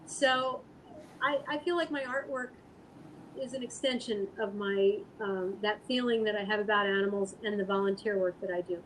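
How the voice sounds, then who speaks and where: A woman speaking as an interview guest, answering casually in an earnest, halting, thoughtful way. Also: medium pitch, a little hiss or hum, poor audio. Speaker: guest artist and animal rescue volunteer.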